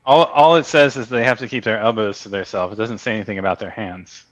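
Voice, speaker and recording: A man's voice: fairly low pitch, fairly even intonation, fast, poor audio. A man co-hosting a casual book-discussion podcast, explaining points matter-of-factly. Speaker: podcast co-host.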